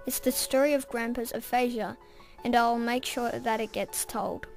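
A woman narrates a rhyming story in a gentle, storytelling way. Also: a little high, fairly even intonation, moderate pace, vocal fry, music in the background.

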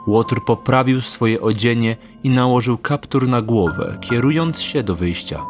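A man narrating a radio drama in a calm, measured storytelling manner. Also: medium pitch. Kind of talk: scripted audio drama narration. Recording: narration over music score.

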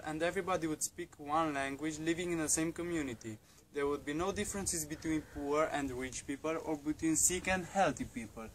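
A man's voice: on the high side, a little hiss or hum, rough recording. A teenage boy student from Romania reading a prepared statement into a phone held at arm's length indoors.

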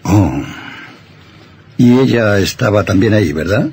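A man's voice: lowish voice, expressive, unhurried, a little mellow, a little hiss or hum. An actor playing a stern, suspicious older father, a surgeon, in a radio drama with an insinuating, hesitant manner.

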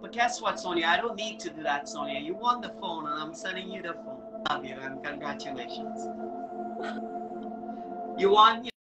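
A woman's voice: on the low side, lively intonation, normal speed, rough recording. A woman hosting a casual live online show, speaking in an effusive, encouraging way and often repeating herself. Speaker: show host. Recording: music in the background. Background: music.

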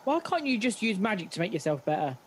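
A woman's voice: mid-range voice, sing-song, quick pace, slight background noise, lightly acted. A woman playing a brave, dry-witted young hero in a radio pantomime.

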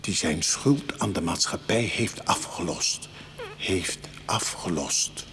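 An actor playing a formal, courteous committee chairman in a radio drama, with a very husky voice and pompous, measured delivery. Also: sits low, a bit bright, heavily acted. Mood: formal welcome. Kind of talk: Dutch radio drama acting.

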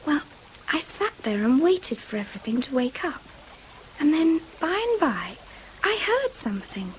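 A woman's voice: sits high, very animated, unhurried, low-quality recording. An actress playing an earnest, imaginative little English girl, speaking precisely and eagerly in a 1970s radio drama. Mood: hushed wonder. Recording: faintly hissy old radio broadcast.

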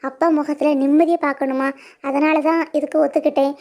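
A woman voicing a quiet, dutiful young daughter who speaks in a soft, resolute way. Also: high voice, level tone, a little fast, natural voice.

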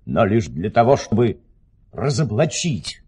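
An actor playing a haughty, sceptical, grumbling count in a formal, indignant, theatrical manner in a radio play. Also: male voice, fairly low pitch, sing-song, unhurried, mellow, slightly rough, heavily acted. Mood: protesting. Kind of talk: Soviet-style radio play acting.

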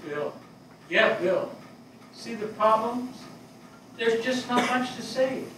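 An older man playing a grieving, plain-spoken fire captain in a staged play reading, halting and weary. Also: very high-pitched, fairly bright tone, heavily acted. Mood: troubled, reluctant. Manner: halting, hesitant delivery. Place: small theatre stage before a seated audience. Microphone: distant camera microphone. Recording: very echoey hall, far microphone, noisy.

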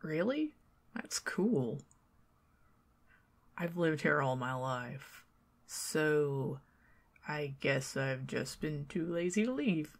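A woman with a Southern American accent doing an ASMR roleplay as a chatty, teasing neighbor who talks to the listener and drifts into soft whispers. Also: deep voice, lively intonation, extremely slow, pauses often. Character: friendly talkative young woman neighbor.

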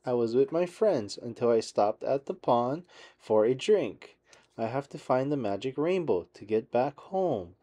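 A man voicing a sweet, hopeful young female unicorn in a gentle, earnest storybook manner. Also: mid-range voice, expressive, measured pace. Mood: shy.

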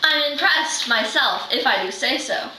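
A teenage girl playing an excitable, proud witch who chants spells dramatically in a readers' theater reading. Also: high voice, measured pace, noisy background, voice pushed down. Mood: excited, proud. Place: living room, far camera microphone. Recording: roomy, distant microphone.

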